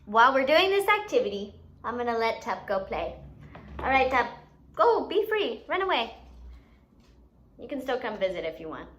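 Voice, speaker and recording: A woman's voice: a little high, very animated, normal speed, pauses often, fairly bright tone. A woman hosting a children's educational activity, playfully giving instructions and asking questions as if to young kids. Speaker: children's story time host and teacher.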